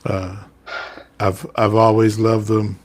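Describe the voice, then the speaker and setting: Easygoing man with a low voice. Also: flat delivery, slow pace. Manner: easygoing, agreeable. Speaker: podcast host. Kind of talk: casual chat.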